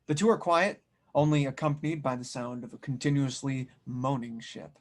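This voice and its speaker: A man's voice: mid-range voice, moderate pace. A man reading scripted narration and stage directions as the narrator of an audio drama table read.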